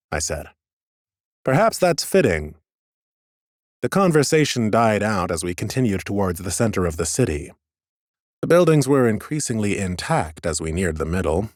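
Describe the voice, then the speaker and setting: A man narrating a first-person fantasy audiobook in a measured storytelling manner, slipping into dialogue. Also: low-pitched, no room sound, clean studio sound.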